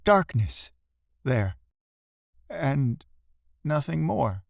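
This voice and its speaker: A man giving a dramatic, expressive recitation of a classic poem, drawing out emphatic phrases for effect. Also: medium pitch, very slow, vocal fry, no echo, studio quality. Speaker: poetry reader.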